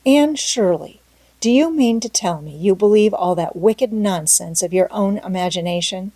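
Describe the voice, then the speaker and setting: A woman's voice: medium pitch, expressive, normal speed, warm tone, dry recording. A woman voicing a stern, practical older farm woman who scolds in a firm, no-nonsense manner, as in a storybook. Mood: amazed disapproval. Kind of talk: storybook character voice in audiobook.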